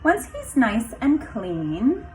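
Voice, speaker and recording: A woman reads a children's picture book like a kindergarten teacher. Her pitch swings widely and expressively, and she takes her time with each phrase. She speaks instructively to young listeners, with faint music behind her. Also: huge pitch swings, slow pace, darkish tone, poor audio. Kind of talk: children's picture book read-aloud with asides.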